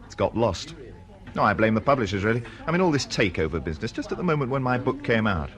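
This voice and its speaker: An actor playing a dry, disgruntled schoolteacher and struggling novelist, pedantic and self-deprecating, in a radio drama. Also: male voice, fairly low pitch, sing-song, quick pace, fairly bright tone. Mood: wry, disgruntled. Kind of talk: naturalistic radio drama dialogue.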